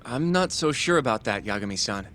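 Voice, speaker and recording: A man acting a thoughtful, analytical character in a video game crime drama, reasoning politely and deliberately. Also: medium pitch, lively intonation, a bit bright, a little hiss or hum, close to an everyday voice. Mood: doubtful, pondering. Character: thoughtful, analytical man piecing together a case. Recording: music behind the voice.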